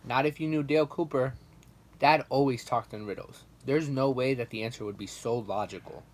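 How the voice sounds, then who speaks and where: A woman's voice: very deep voice, normal speed, darkish tone. A woman playing a skeptical, curt heir in a 1940s radio play. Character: skeptical, curt grown child of a dead tycoon.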